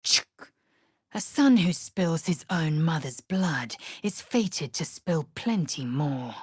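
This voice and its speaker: An actress voicing a fierce, haughty alien warrior woman in a video game, with a husky voice and an imperious, clipped, disdainful manner. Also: deep voice, animated, slow pace, darkish tone, no room sound, clean studio sound, heavily acted. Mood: stern. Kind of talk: video game character voice lines.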